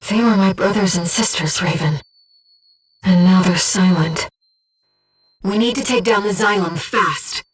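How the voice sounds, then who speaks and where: A woman voicing a gentle, disembodied female being who guides a pilot in a video game, speaking in a composed, earnest and precise way. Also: lowish voice, measured pace, long pauses, husky, recorded from a distance, soft background music, low-quality recording. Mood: wistful, sorrowful, then urgent, alert. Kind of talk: video game mission support voice acting.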